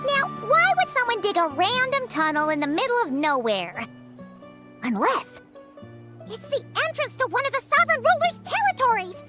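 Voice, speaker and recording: A woman voicing a small, excitable, chatty fairy companion in a video game, with a childlike squeaky voice and an exclaiming, questioning manner. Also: moderate pace, loud music behind the voice, full character voice. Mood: curious, animated.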